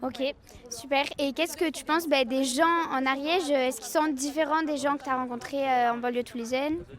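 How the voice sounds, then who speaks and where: Young woman, high voice, interviewer, friendly, hesitant questions. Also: steady tone, normal speed.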